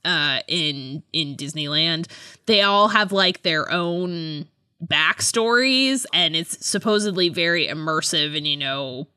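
A woman with a low, bright voice talks casually as a podcast co-host. She rambles in a chatty way, hedging and letting sentences trail off, and the sound is close and dry. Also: expressive, takes it slowly, clean tone, no room sound. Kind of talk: casual fan chat.

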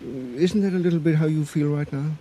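An actor playing a kindly, gently awkward male teacher in a radio drama, earnest and hesitant. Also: on the high side, brisk, natural voice. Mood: earnest, coaxing. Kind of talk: 1980s radio drama acting. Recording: noisy vintage radio drama recording.

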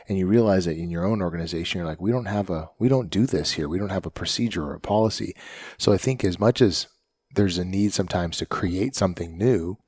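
A man's voice: deep voice, a little mellow, slightly rough, dry recording, clean studio sound. A man hosting a podcast interview, encouraging his guest and often checking with "right?". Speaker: podcast host, firefighter. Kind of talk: casual interview chat.

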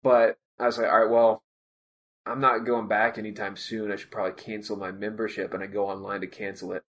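A man's voice: lowish voice, level tone, a little fast, fairly bright tone. A man co-hosting a podcast, chatting casually and telling a joking anecdote. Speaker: podcast co-host. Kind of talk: casual chat.

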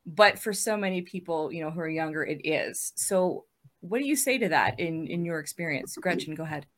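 A woman's voice: sits low, lively intonation, a little fast. A woman in her thirties moderating a casual online panel, chatty and upbeat, laughing easily between questions. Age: 30s. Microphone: video call.

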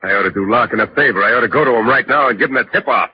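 A man's voice: medium pitch, racing, close to an everyday voice. An actor playing a bitter ex-convict chauffeur, deferential to his boss, in 1940s radio drama style. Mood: bitter, jealous. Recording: muffled, noisy vintage radio broadcast.

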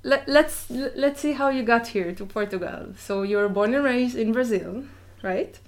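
A woman's voice: on the high side, unhurried. A woman interviewing a guest in a friendly, casual way, with many fillers.

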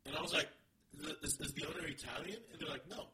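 A man's voice: a little high, fast, very noisy. A man co-hosting a comedy podcast, chatting casually in a rambling way with many restarts. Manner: rambling, restarts a lot, animated. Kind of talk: casual banter.

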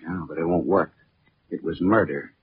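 An actor playing a hardboiled, sardonic private detective in a 1940s radio drama, clipped, slangy and wisecracking. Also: male voice, low-pitched, moderate pace, slightly rough. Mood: coolly accusing. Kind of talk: 1940s radio detective acting with first-person narration. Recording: muffled, noisy late-1940s radio recording.

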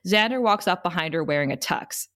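A woman's voice: fairly low pitch, expressive, brisk, fairly bright tone. A woman narrator reading the stage directions of a script at an informal table read.

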